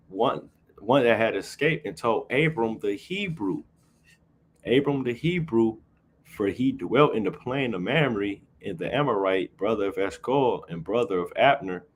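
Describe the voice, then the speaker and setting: Guy with a really deep voice doing a casual bible lesson, keeps saying right?. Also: animated, a little slow, a little hiss or hum. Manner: tags nearly every phrase with "right?"; reads verses aloud then explains them conversationally. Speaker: Bible teacher. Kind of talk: informal scripture teaching on a livestream.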